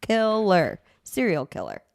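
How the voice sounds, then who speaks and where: A woman's voice: medium pitch, huge pitch swings, a little slow, creaky. A woman co-hosting a podcast in casual chat, sounding stuffy and nasal from a sinus infection, giggling and joking with many fillers. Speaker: podcast co-host.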